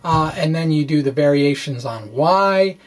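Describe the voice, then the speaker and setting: A man explaining physics in an informal walkthrough, thinking aloud in a halting way and correcting himself, with wry asides. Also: fairly high pitch, lively intonation. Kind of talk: informal math walkthrough, reading and ad-libbing.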